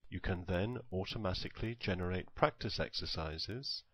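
A man reading scripted tutorial narration in a measured, instructional manner. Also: deep voice, a bit bright, husky.

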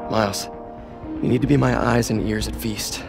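A man's voice: on the low side, steady tone, moderate pace, creaky, over music. An actor playing a young, protective, determined male superhero in a dramatic video game. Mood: firm, resolute.

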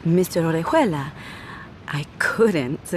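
A woman's voice: sits low, lively intonation, slow pace, slight background noise. A woman playing a sulky, proud young girlfriend of a gangster, petulant and complaining, in a game cutscene. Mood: huffy. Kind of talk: video game cutscene acting.

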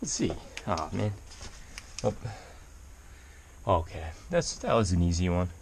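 A man's voice: deep voice, sing-song, unhurried, gravelly, slight background noise. A man chatting casually to his camera as he explores a cave, thinking aloud as he goes. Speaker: vlogger exploring caves. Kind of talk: casual handheld exploration commentary. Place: inside a limestone cave.

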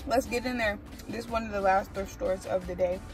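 A woman's voice: mid-range voice, fairly quick, music in the background. A woman in her twenties with an American accent, chatting casually and slangily as a vlogger. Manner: informal, slangy, animated asides. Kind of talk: casual vlog chat. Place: inside a parked car and at home.

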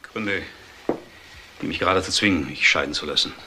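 An actor playing a haughty, ambitious, indignant suspect in a crime drama, answering with defensive bluster. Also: male voice, sits low, a little fast, sharp tone, a little hiss or hum. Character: indignant, haughty, ambitious doctor of business, adult male suspect.

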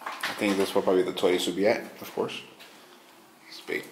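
Male urban-exploration vlogger, lowish voice, casual on-the-spot narration, roomy echo and clear noise. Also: little pitch movement, fairly quick. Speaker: ghost-hunting vlogger. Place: inside an empty abandoned hospital building. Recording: echoey rooms with clear noise.

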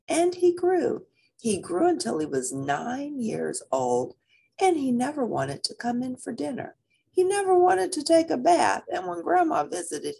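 A husky-voiced woman reading a children's picture book aloud in a dramatic, expressive way, drawing out repeated phrases. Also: on the high side, a little hiss or hum.

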